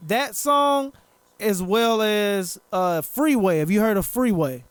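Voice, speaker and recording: A man's voice: sits high, expressive, slow, clean tone, no echo. A man hosting a casual podcast chat, rambling, joking and swearing a lot. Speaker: podcast host.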